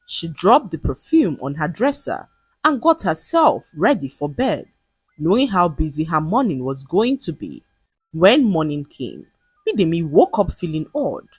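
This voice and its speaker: A woman narrating a scripted folktale in an expressive, dramatic storytelling manner. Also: on the low side, a little slow, breathy edge, no echo, faint music behind.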